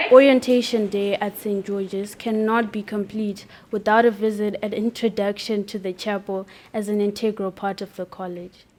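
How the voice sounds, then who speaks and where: A woman's voice: medium pitch, a little mellow, a little hiss or hum. A woman narrating a school newsreel from a script.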